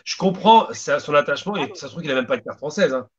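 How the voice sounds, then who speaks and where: A man's voice: a little high, expressive, fast talker. A man speaking as a guest on a radio debate, making emphatic, reasoned arguments. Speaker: panel guest. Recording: dull lo-fi radio sound.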